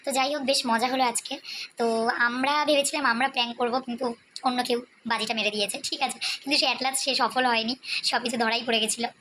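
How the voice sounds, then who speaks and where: A woman with a West Bengal accent chats to her vlog audience in a high voice at a quick pace. She slips English words into casual talk, with faint noise in the background. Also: steady tone, sharp tone. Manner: chatty, mixes in English words. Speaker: vlogger.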